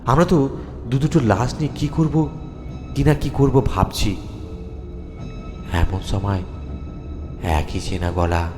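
A man tells a ghost story in a fairly low voice, slowly and theatrically, leaving dramatic pauses between phrases. His narration sits in a long reverb over music.

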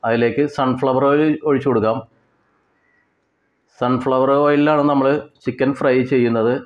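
A man with a Kerala Malayali accent giving step-by-step cooking instructions in a plain way, with pauses and repetitions. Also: mid-range voice, dull audio. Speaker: home cook presenter. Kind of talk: step-by-step recipe narration.

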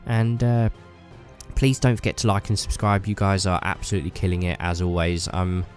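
Deep male vlogger, stuffy cold, enthusiastic and chatty. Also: little pitch movement, normal speed, faint music behind. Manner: enthusiastic, rambling with fillers. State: bunged up with a cold. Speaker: YouTuber. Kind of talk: casual hobby vlog talk.

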